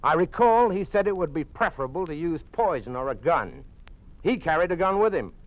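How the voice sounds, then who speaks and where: A man's voice: on the high side, sing-song, brisk, dull audio. An American man playing a middle-aged doctor in an old radio drama, defiant and accusatory in an emphatic delivery. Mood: grave indignation. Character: indignant male doctor defiantly challenging communist officials. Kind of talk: 1950s radio drama acting.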